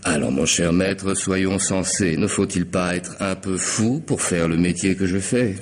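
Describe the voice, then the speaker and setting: An actor playing a sardonic, half-mad hired killer in a French radio mystery, mocking and unhurried in his false courtesy. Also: deep voice. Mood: teasing menace.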